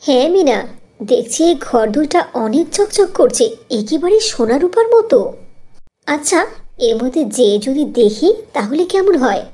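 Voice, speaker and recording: A woman voicing a caring, eager young orphan girl in a fairy tale cartoon, with an earnest, expressive delivery. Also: sits high, normal speed. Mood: amazed, curious.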